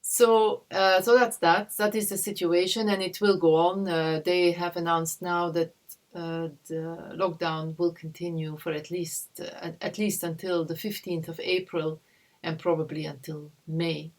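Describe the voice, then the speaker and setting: A woman from Belgium speaks over a video call from her home. Her voice sits fairly low, and she talks haltingly, pausing to search for words. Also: flat delivery. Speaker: interviewee. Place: home in a rural village.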